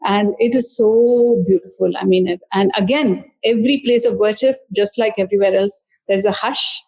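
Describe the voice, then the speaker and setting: A woman author, talking through her book in a thoughtful, halting way with many fillers and restarts. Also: medium pitch, steady tone, darkish tone, rough recording. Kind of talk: conversational book discussion.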